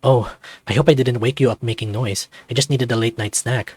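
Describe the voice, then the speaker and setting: A man's voice: medium pitch, steady tone, fairly quick, a little mellow, slightly rough, no echo, natural voice. A man voicing a young male dragon student in a visual novel, friendly, eager and easygoing. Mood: soft, friendly.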